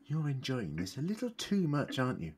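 An actor playing a wry, defiant captive adventurer pleading in an audio drama, dramatic and expressive. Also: male voice, medium pitch, a little fast, breathy edge, heavily acted. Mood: indignant. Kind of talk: fan audio drama acting.

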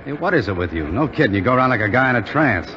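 An actor playing a brash, friendly young army cadet in slangy 1940s radio drama style. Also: male voice, mid-range voice, sing-song, rapid-fire, lightly acted. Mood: concerned, probing. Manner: slangy, ribbing. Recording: dull 1940s radio broadcast, background noise.

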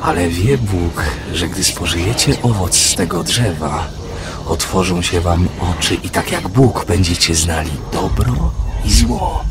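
Cunning snake, persuasive, animated intonation, loud atmospheric noise behind, audio drama. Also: male voice, low voice, measured pace, gravelly, exaggerated voice. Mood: sly tempting. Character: cunning tempting male serpent in Eden.

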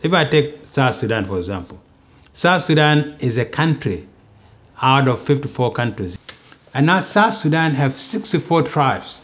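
A middle-aged man giving a measured, explanatory interview, seated indoors and working through examples. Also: mid-range voice, big pitch swings, a bit bright. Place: indoor sitting room.

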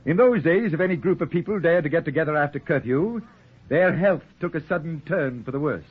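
A man's voice: fairly high pitch, fairly quick, breathy edge. A man playing a stubborn, principled cafe owner, wry and articulate, in 1940s radio drama style. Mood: impassioned. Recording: tinny old 1940s radio recording.

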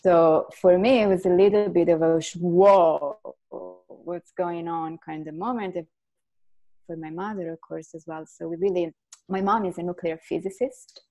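A woman's voice: lowish voice, moderate pace, rough recording. A woman in her forties from Italy, with an Italian accent, speaking earnestly as a guest on a video call from her living room through earbuds. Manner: earnest, animated hand gestures. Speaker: guest neuroscientist. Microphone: earbud headset on video call.

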